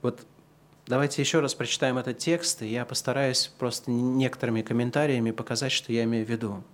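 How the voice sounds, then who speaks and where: A man giving a sermon, who moves between slow, loud, emphatic passages and quieter, conversational asides to the congregation. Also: mid-range voice, fairly even intonation. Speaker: pastor.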